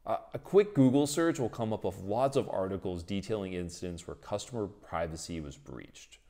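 A man's voice: sits low, animated, normal speed, dark tone. A man, explaining technology as the host of a tutorial video. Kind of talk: explanatory tech tutorial, presenting to camera and in voice-over.

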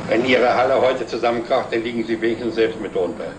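Casting: man to play wry company boss, medium pitch, TV drama; roomy, noisy vintage sound. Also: fast, recorded from a distance, close to an everyday voice. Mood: wry, amused. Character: wryly mocking male company director, sardonic and self-ironic. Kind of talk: scripted television drama dialogue.

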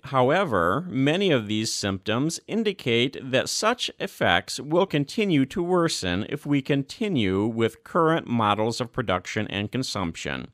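A man reading a formal document aloud as scripted narration, in a measured, clearly phrased manner. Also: mid-range voice, fairly bright tone, no room sound, clean studio sound. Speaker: reader.

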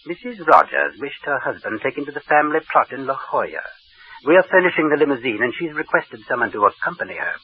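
A prim, unctuous undertaker. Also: male voice, medium pitch, sing-song, fast, breathy edge. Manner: unctuous, prim, sing-song. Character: fussy ghoulish undertaker man, middle-aged. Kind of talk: 1940s radio acting. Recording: dull 1940s radio recording.

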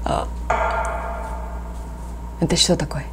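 A woman in her thirties from South Korea with a Korean accent, giving casual reaction commentary in halting, simple phrases of a second language. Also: background noise. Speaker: reaction vlogger. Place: small room at home, indoors. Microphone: lapel mic. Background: film soundtrack music.

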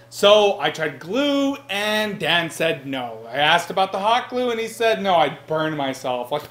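A man's voice: fairly high pitch, a bit bright. A man playing a bumbling, earnest roommate in a mockumentary comedy sketch, rambling with naive confidence. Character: bumbling, earnest male roommate, self-styled handyman. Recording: echoey room sound.

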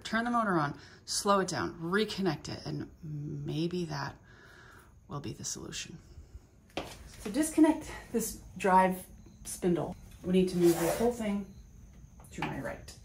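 A woman explaining her woodworking tools in a relaxed, step-by-step way, recorded in her home garage workshop. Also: lowish voice, a little hiss or hum. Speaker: woodworking vlogger. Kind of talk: casual how-to narration.

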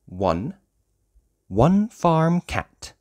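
Very slow, swooping children's story reader. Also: medium pitch, sing-song, a little mellow. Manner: sing-song, exaggerated, with whispers and squeals. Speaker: host reading a children's picture book. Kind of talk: read-aloud for young children, slow counting.

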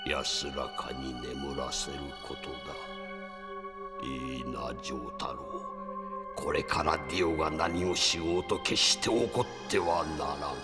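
An actor playing an old grandfather's spirit, grave and deliberate, counseling his grandson in an audio drama. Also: low-pitched. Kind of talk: Japanese audio drama acting.

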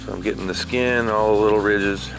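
A man hosting an outdoor cooking video at a campsite, talking through each step in a relaxed, chatty way with fillers. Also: fairly low pitch, a little fast, creaky, rough recording. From Florida. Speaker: outdoor cooking channel host. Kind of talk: casual how-to narration while cooking outdoors. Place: outdoors at a campsite by a fire pit. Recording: music playing under the voice.

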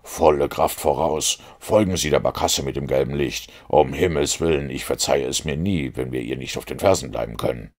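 A man's voice: rumbling low, moderate pace, hardly any pauses. A man voicing a commanding, driven detective with an emphatic delivery in an audiobook. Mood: urgent.

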